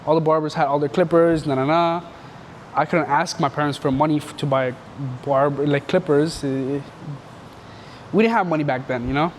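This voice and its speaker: Young man from Canada, loose and slangy storyteller, slightly high voice, faint background noise. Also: steady tone, normal speed. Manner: rambling and slangy, full of filler words. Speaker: entrepreneur telling his story. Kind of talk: casual storytelling interview.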